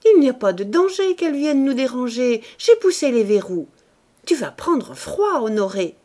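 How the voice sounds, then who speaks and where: A woman reading an audiobook, voicing a brash, greedy woman who talks in a shrill, loud, giggly way. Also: sing-song, normal speed. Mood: gloating.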